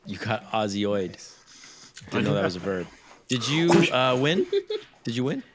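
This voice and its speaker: A man hosting in casual chat, joking and teasing with quick pop-culture references. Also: on the low side, big pitch swings, very noisy. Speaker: host.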